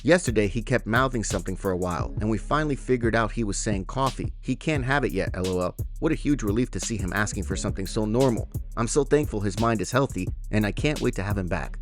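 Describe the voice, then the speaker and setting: A man's voice: on the low side, lively intonation, quick pace, few pauses, soft background music. A man presenting MMA news as scripted narration, reading out reports and quotes.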